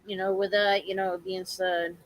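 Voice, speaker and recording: A woman with a hoarse voice from allergies, talking casually and haltingly to her viewers on a home live stream. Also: mid-range voice, level tone. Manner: halting, repetitive, earnest.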